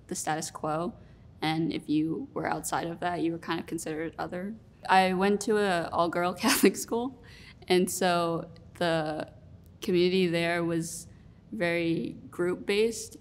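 A young woman in her twenties sits for an interview, her voice fairly low. She talks in a relaxed, reflective way and often pauses on fillers. A faint rumble runs under the recording. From Rhode Island, US. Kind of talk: sit-down interview. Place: studio room with plants.

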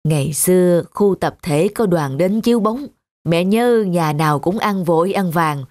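An actress voicing a gentle, frugal elderly country mother in an animated drama, homely and unhurried in manner. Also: fairly low pitch, no echo, clean studio sound. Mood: nostalgic.

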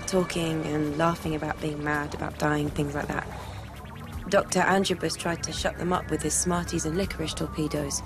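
An actress playing a troubled, frank and curious young student in a radio drama. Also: female voice, deep voice, level tone, moderate pace. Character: troubled, curious young woman student who hears voices. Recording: music behind the voice.

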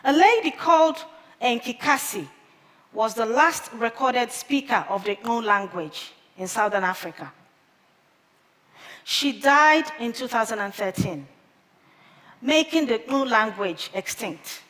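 A woman in her thirties, a native Igbo speaker, giving a prepared talk on stage at a measured pace with careful pauses, on a headset mic. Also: on the high side, animated, a bit bright. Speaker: conference speaker. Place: large theater stage with audience. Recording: long hall reverb from a big theater.

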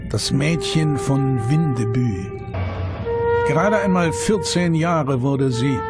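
A man reading scripted documentary narration with measured, dramatic phrasing and rhetorical questions. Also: on the high side. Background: background music.

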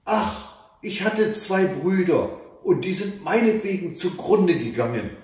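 A grieving young fairy-tale maiden, acted out by a male storyteller, speaks earnestly and plaintively. The pitch is raised and the delivery slow. It rings in a very echoey room. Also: animated, darkish tone. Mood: lamenting. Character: grieving, devoted young maiden seeking her brothers. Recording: echoey room, mic at a distance.

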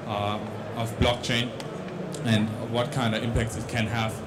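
Young dutch guy presenting at a conference, stops and restarts a lot, mid pitch, loud hiss behind. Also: little pitch movement, unhurried. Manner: hesitant, many restarts and fillers. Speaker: conference speaker. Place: large conference stage. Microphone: handheld mic.

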